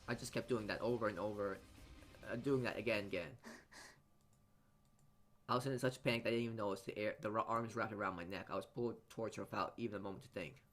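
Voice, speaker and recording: A man reading a visual novel aloud as dramatic narration, halting often as he stumbles over and repeats words. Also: fairly low pitch, steady tone, a bit bright. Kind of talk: dramatic read-aloud narration for a let's play.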